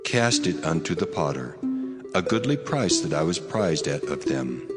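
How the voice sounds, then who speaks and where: A man playing the Lord God in a dramatized scripture reading, speaking in a measured, solemn, commanding way. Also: low-pitched, sing-song, over loud music. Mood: stern. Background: loud musical score.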